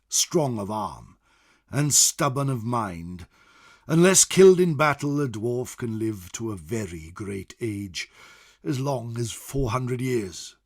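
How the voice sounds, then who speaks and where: A man voicing a venerable old scholar in solemn, theatrical fantasy narration, with a husky voice that swells and drops away. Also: on the low side, exaggerated voice. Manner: theatrical, solemn, swelling then dropping to whispers. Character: venerable old male scholar chronicler, grave, fearful, awed by ancient lore. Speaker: storyteller. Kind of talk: dramatic in-character fantasy narration.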